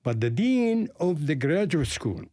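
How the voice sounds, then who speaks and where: A man in his eighties with an Arabic accent, from Palestine and raised in Lebanon, recounting his life in an interview in a deliberate storytelling manner. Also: fairly high pitch, big pitch swings, warm tone, no echo, studio quality. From Palestine, grew up in Lebanon. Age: 80s.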